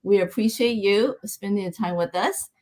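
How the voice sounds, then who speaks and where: A middle-aged woman from Taiwan hosting a live online interview, speaking in measured, scripted-sounding introductions with some fillers. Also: mid-range voice, a little mellow. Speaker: host.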